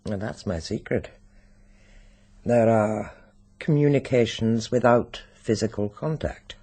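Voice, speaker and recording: A British actor playing a sinister, secretive occult expert in a radio drama, speaking deliberately and insinuatingly. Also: male voice, fairly low pitch, warm tone, slightly rough, heavily acted.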